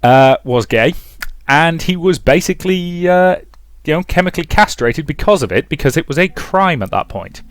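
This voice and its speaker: A man's voice: fairly high pitch, expressive, normal speed, few pauses, dry recording, clean studio sound. A man commentating on and reading aloud from a visual novel as a let's play host.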